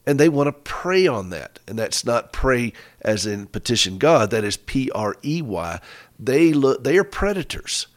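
Man, middle-aged, slightly hoarse, radio host, folksy emphatic storyteller, mid-pitched voice. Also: big pitch swings, a little slow. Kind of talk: conversational radio talk. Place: rural home in the mountains.

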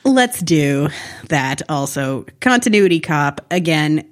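Woman, low register, casual TV discussion, wry, blunt, critical. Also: animated, slow pace. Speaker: podcast co-host.

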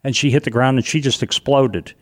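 A man's voice: mid-range voice, brisk. Middle-aged man retelling a vivid story. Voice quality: loud voice. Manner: vivid, animated retelling with acted-out quotes. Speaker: guest. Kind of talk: personal storytelling in interview.

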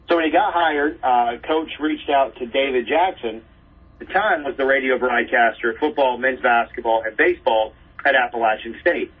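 A man's voice: mid-range voice, husky. A man who works as a play-by-play announcer, chatting as an interview guest over a dull remote connection. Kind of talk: conversational storytelling in an interview.

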